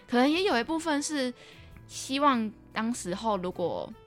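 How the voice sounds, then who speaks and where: A woman's voice: fairly high pitch, big pitch swings, moderate pace, a bit bright, soft background music. A young adult woman with a Taiwanese Mandarin accent, hosting a casual podcast interview and telling personal stories reflectively. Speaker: podcast host and radio drama writer.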